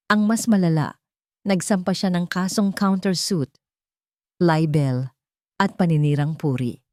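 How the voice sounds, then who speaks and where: A woman's voice: sits low, darkish tone, no room sound. An urgent, deferential security chief from a romance audio story, put on by a woman narrator. He reports in clipped, respectful phrases. The recording is dry.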